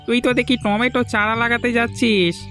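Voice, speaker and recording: Man acting resigned cartoon villager, high male voice, downbeat, music in the background. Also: flat delivery, fairly quick, bright, forceful tone. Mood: gloomy. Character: gloomy, resigned village man, the farmer's friend. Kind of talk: scripted cartoon story dialogue. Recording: music under the voice.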